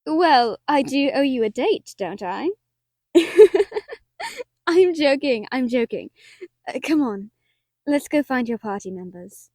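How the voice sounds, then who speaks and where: A woman playing a wry, affectionate young merchant in a fantasy audio drama, gently teasing and a little hesitant. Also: sits high, expressive, slow, pauses often, no room sound, studio quality, exaggerated voice. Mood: tender, flustered.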